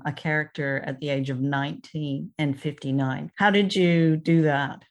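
A woman's voice: low voice, fairly even intonation, normal speed. A woman hosting a podcast interview, with scripted introductions and short questions. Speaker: podcast host, writer and producer.

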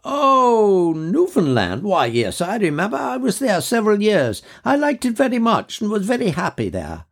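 A man voicing an elderly officer's widow, chatty and cheerful, in a high fluttery voice, for a spoken story. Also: wildly swooping pitch, moderate pace, gravelly, few pauses, breathy edge, full character voice. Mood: reminiscing.

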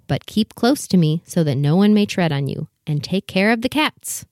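A proud mother duck from a storybook, played by a woman, with a slightly low female voice. She is bustling and protective, giving motherly instruction in a dry, echo-free sound. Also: lively intonation, normal speed, darkish tone, lightly acted. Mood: proud, instructive. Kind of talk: full cast storybook dramatization.

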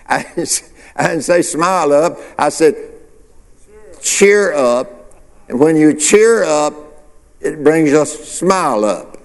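A man's voice: a little high, lively intonation, slow, long pauses, in a large hall. A male pastor preaching a sermon, asking the congregation questions and answering them himself. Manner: preacherly call-and-response, rhetorical questions.

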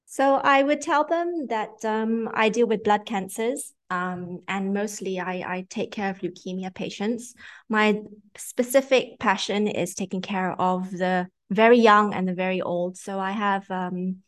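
A woman's voice: mid-range voice, measured pace. A woman in her forties with a British accent, a guest doctor chatting in a podcast interview over a video call. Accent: British English. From Singapore. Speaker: guest haematologist.

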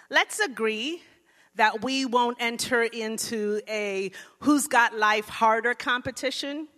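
A woman from Jamaica addresses a church congregation in a lively, direct way. Her voice is bright, and the long reverb of the sanctuary trails after each phrase. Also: on the high side. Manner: lively, engages congregation, softens into prayer. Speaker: guest speaker. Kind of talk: church sermon talk. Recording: long reverb of a large hall.

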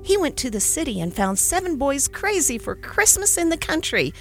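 An excited little boy, put on by a woman narrator chatters in a high, breathless rush. His words tumble out eagerly, lifting and swooping, with quiet music playing behind. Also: full character voice. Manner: rapid eager tumbling speech.

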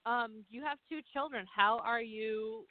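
A woman's voice: a little high, measured pace, no echo. A woman with a Philadelphian accent interviewing a guest for a podcast in an encouraging, chatty way, with lots of fillers. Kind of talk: casual interview. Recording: dry, phone-like sound.

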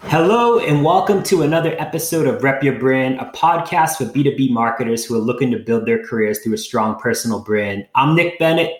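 A man hosting a podcast interview in an upbeat way, stumbling over restarts. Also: medium pitch. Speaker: podcast host. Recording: echoey room.

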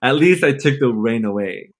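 A man's voice: mid-range voice, lively intonation, unhurried. A man in his twenties from Mexico, Los Angeles and Nashville, talking casually as a podcast guest in an informal, playful, slangy way. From born in Mexico, grew up in Los Angeles and Nashville. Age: 20s. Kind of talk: casual conversational interview.

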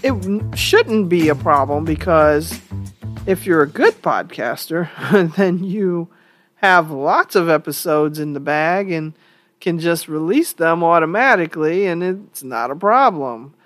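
A woman's voice: low voice, expressive, a little slow, faint music behind. A woman hosting her own opinion podcast, still a little congested from a cold, talking in a conversational, opinionated way. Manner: conversational and opinionated, thinking aloud with fillers. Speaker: podcast host. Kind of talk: solo opinion podcast monologue.

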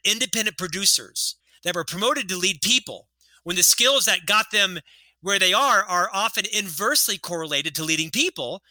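Fifties male author who stutters, earnest guest, high bright voice. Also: no echo, poor audio. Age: 50s. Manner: earnest storytelling, lectures with statistics. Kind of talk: casual interview talk.

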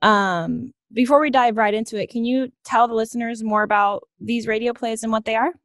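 A woman's voice: fairly high pitch, fairly even intonation, fairly quick, a bit bright, dry recording, clean studio sound. A woman hosting a podcast, introducing and interviewing a guest in a presenter's style. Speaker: podcast host.

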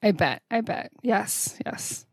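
A woman's voice: mid-range voice, lively intonation, takes it slowly, a little mellow, husky, no room sound, studio quality. A woman hosting a podcast and interviewing a guest in an upbeat, enthusiastic way. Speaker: podcast host. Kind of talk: podcast interview, scripted intro.

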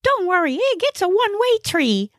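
A tiny, cranky alien guy, played by a woman narrator, speaks way up high in an audiobook. He is brash and bossy, with folksy grammar and invented words. The sound is dry and close. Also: big pitch swings, moderate pace, no echo. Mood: bossy, boastful. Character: bossy, cranky small male alien, mangles big words. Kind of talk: audiobook character voice.

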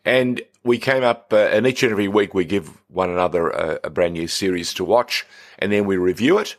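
A man's voice: deep voice, a little fast. A middle-aged man, a playful, teasing podcast co-host who chats casually. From Australia. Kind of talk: casual chat.

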